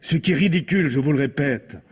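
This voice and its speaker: A man's voice: on the high side, fast, husky. A man playing a distraught, defensive widowed husband in a French radio mystery in 1950s style, hesitant and protesting.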